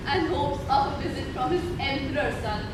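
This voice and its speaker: An actress playing a kind-hearted Mughal princess and devoted older sister in a stage play, earnest, formal and imploring. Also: female voice, sits high, moderate pace, natural voice. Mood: reproachful. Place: indoor theatre stage. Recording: echoey stage hall, distant mic, noisy.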